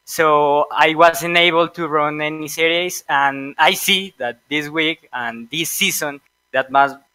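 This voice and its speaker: A Colombian man whose first language is Spanish, speaking English in a Colombian accent, hesitant and halting as an interviewed sim racer. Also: a little high, steady tone, bright, forceful tone, smooth, no room sound. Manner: hesitant, halting with many fillers. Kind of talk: post-race interview.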